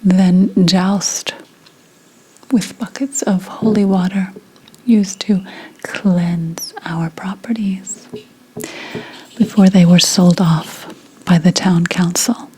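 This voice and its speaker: A woman playing a reincarnated seventeenth-century witch in an ASMR roleplay, telling her story in a hushed, deliberate, mournful whisper. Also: fairly low pitch, steady tone, slightly rough, exaggerated voice, low-quality recording. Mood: grave, bitter.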